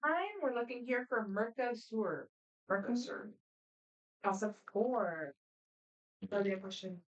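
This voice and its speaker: A woman moderating a student quiz competition, reading questions aloud in a brisk, friendly, matter-of-fact way. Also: mid-range voice, highs cut off. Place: competition room. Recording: voice picked up from a distance.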